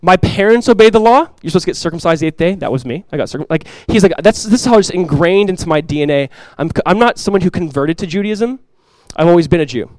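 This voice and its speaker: A man with a fairly high voice preaches in an earnest, conversational way. He keeps asking his listeners rhetorical questions. The sound is dry and close to the mic. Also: lively intonation, fast talker, darkish tone, no echo. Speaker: pastor. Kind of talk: expository sermon.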